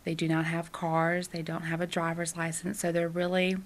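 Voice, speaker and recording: A woman organizer speaks in an interview with a low, flat voice. She is halting and keeps repeating words as she restarts her sentences. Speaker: program organizer. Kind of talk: interview answers.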